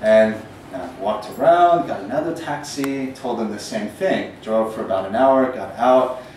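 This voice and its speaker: Thirties male, American accent, casual lecturing poet, slightly low voice, distant mic with faint room noise. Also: unhurried, a little mellow, very echoey. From Northern Ireland. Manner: rambling, frequent ums, self-deprecating humor. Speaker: poet giving a talk. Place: small gallery room, indoors.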